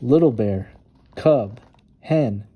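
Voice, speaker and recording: A man narrating a children's storybook with expressive, dramatic emphasis on key words. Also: mid-range voice, very slow, husky, narrow, tinny audio. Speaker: storytime reader.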